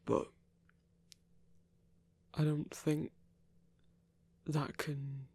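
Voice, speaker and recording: A gentle, awkward male hunter voiced by an actor speaks with a gravelly, husky edge. He is earnest and remorseful, stumbling over his words and apologizing in an intimate ASMR roleplay. The delivery stays close and dry. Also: mid-range voice, monotone, no room sound, heavily acted. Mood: reassuring, hesitant. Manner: hesitant, halting, apologetic, stammering.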